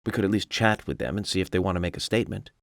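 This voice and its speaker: Cool FBI profiler, very low voice, gravelly fry, self-assured, lecturing, video game cutscene. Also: male voice, no echo, studio quality. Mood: firm. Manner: measured, lecturing, matter-of-fact. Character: coolly analytical, self-assured male FBI agent investigating murders.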